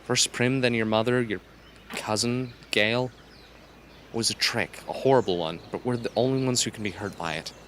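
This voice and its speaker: Guy acting patient reassuring teen boy, radio drama, average pitch, bit of background noise. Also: moderate pace, fairly bright tone, close to an everyday voice. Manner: patient, persuasive. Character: earnest, gentle, reassuring teenage boy in danger.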